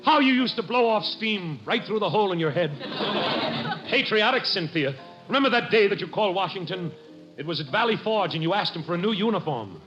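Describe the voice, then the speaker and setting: A man's voice: high-pitched, expressive, a little fast, music in the background. A male comedian on an old radio show, firing off gags and crooning in mock romance. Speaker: comedian host. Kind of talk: 1940s radio comedy monologue. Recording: dull old radio broadcast with orchestra.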